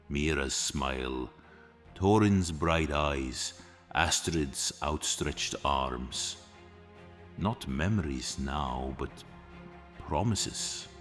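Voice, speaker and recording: A man narrating a scripted epic fantasy story in a solemn, dramatic, measured way. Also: extremely low pitch, a bit bright. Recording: long reverb with music behind. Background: music.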